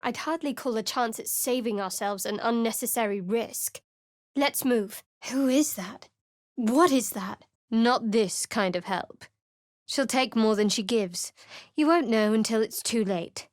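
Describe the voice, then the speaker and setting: Woman doing guarded game cleric girl, clipped and sardonic, kinda high voice, super dry booth sound. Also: a little slow, dry recording, natural voice. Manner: cool, wry and clipped. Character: guarded young female cleric, secretive, sharp-tongued. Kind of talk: video game voice lines. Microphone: dry close studio mic.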